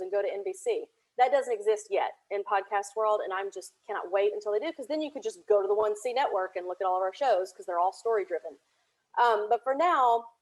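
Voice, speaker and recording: A woman with a middling-pitched voice chats as a podcast guest. She explains her audio productions at length in an informal, conversational way. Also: rough recording. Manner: chatty, explanatory. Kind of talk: casual interview chat.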